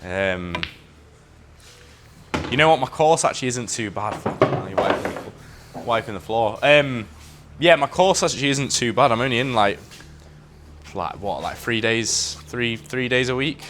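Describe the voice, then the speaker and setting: A man's voice: mid-range voice, lively intonation, unhurried, pauses often, noisy background. A man, chatting casually as a guest while playing pool, with lots of "like" and "um". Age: 20s. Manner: laid-back, lots of "like" and "um". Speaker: guest coach. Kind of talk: casual chat over a game of pool.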